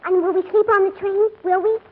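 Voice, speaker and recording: Little English girl, very high voice, pert, matter-of-fact, tinny vintage radio. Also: steady tone, a little fast. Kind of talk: 1940s radio drama acting. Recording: tinny old radio recording, faint noise.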